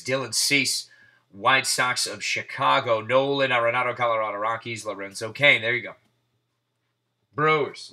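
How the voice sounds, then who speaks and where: A man hosting a live card break, calling out players and teams in quick succession with hyped asides, his voice getting hoarse. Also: medium pitch, sharp tone. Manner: rapid-fire name calling, hyped asides. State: losing his voice. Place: indoors at a table.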